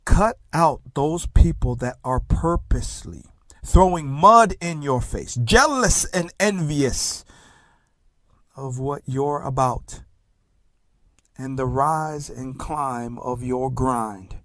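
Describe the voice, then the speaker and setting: A man who grew up in Tonga, a native Tongan speaker, hosting a talk show as a success coach, delivering an emphatic motivational monologue with dramatic pauses and soft, hushed phrases. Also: mid-range voice, lively intonation, dry recording. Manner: slow, emphatic, dramatic pauses, drops to soft near-whispers.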